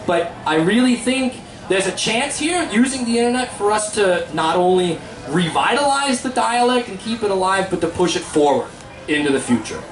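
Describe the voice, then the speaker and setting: High-voiced joking man on stage. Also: expressive, normal speed, echoey room, poor audio. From Pennsylvania, USA. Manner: joking, chatty, promotional. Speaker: host and teacher. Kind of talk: live stage talk at a festival. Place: seminar tent at an outdoor festival.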